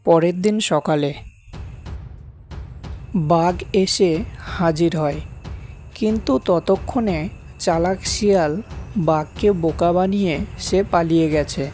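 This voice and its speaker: A woman's voice: fairly low pitch, slow pace, long pauses, darkish tone, faint music behind. A woman narrating a scripted children's fable as the storyteller.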